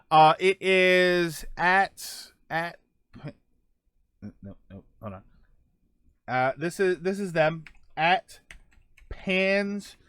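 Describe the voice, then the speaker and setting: A man's voice: high-pitched, a bit bright, clean tone, dry recording, clean studio sound. A man hosting a casual podcast, reading viewer topics and tweets aloud with many ums and restarts. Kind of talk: casual solo podcast chat.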